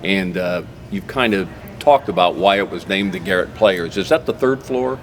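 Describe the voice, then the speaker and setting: A man's voice: deep voice, normal speed. A man hosting a conversational local interview show, talking in a folksy way and recapping details as he goes. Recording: clearly noisy background ambience.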